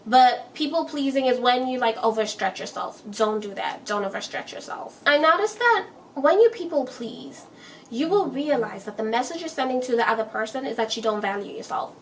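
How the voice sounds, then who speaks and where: A woman's voice: fairly high pitch, fairly bright tone, recorded from a distance, a little hiss or hum. A young woman talking to her viewers in a chatty, emphatic way, repeating her points and laughing as she gives self-help advice. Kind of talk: casual advice monologue.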